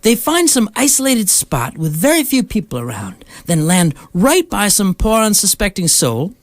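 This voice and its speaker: A woman plays a seasoned galactic hitchhiker in a radio comedy. The delivery is breezy and matter-of-fact, with lively pitch movement in a middle register. The sound is close and dry. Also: normal speed, dry recording. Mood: breezy, explaining. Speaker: radio drama actor.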